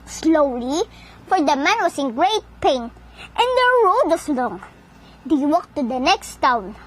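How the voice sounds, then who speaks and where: Female storyteller, very high voice, lively intonation, deliberate read-aloud delivery for children. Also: poor audio.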